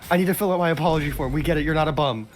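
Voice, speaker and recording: A man's voice: a little high, very fast, very noisy. A young man giving casual, exclamatory game commentary, bantering with a friend. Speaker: gamer playing with a friend, reading story text aloud.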